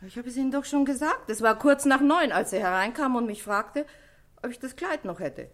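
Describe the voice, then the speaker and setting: A fairly high female voice from an actress as a dress-shop owner in a radio crime play. She is brisk and testy, with chatty, colloquial phrasing. Nothing about her sounds intimidated. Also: expressive, natural voice. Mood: explanatory. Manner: brusque, colloquial, unintimidated.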